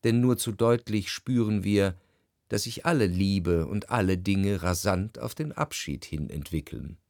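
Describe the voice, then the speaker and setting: An actor playing a brooding, introspective narrator, reading in measured, reflective for a literary radio drama. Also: male voice, low-pitched, vocal fry, darkish tone, no room sound. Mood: reflective, melancholy. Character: brooding, introspective male narrator recalling a troubled love. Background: music.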